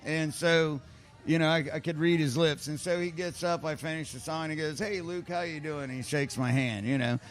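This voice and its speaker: A man's voice: on the high side, fairly even intonation, normal speed. A man who plays music, chatting on a podcast in a laid-back, profane way, often tossing in "you know" and "man". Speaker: musician and show regular. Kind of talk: casual storytelling.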